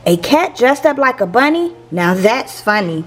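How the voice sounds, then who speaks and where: High, animated woman reading to children. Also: soft background music. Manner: expressive, measured phrasing for young listeners. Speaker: teacher reading picture books for a channel. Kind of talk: children's read-aloud storytelling.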